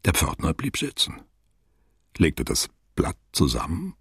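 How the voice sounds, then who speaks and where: A man narrating a literary radio play as a sober, observant storyteller with a detached, deliberate delivery. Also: extremely low pitch, wildly swooping pitch, gravelly, fairly bright tone, husky, dry recording, studio quality, exaggerated voice.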